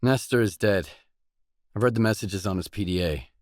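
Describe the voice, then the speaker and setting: An actor playing a gruff, guarded, hard-bitten mercenary in a video game, speaking in terse, blunt, businesslike lines. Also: male voice, fairly low pitch, normal speed, darkish tone, no room sound, studio quality, lightly acted. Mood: inquisitive, guarded.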